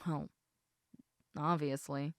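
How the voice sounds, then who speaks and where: Woman playing a teasing lamia, low, close dry voice. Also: little pitch movement, dry recording. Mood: mildly exasperated. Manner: chatty, informal, halting with trailing thoughts. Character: sleepy, teasing half-human half-snake lamia woman, affectionate and easygoing. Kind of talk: casual intimate audio roleplay addressed to listener.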